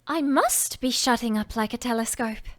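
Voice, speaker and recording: A woman's voice: a little high, normal speed, fairly bright tone, natural voice. A woman voicing the little girl Alice, earnest and chatty, in a storybook reading. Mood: wondering.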